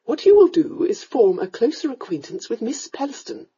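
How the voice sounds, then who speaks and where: A woman's voice: very animated, normal speed, mellow, breathy edge, dull audio, full character voice. A woman voicing a fussy, domineering countess scolding her daughter in a storybook reading. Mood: commanding. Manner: scolding, shrill, melodramatic.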